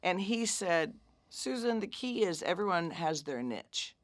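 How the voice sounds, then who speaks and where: A woman's voice: fairly low pitch, animated, unhurried, dry recording. A woman, a musician guest, telling a story in casual chat with an earnest, reassuring manner.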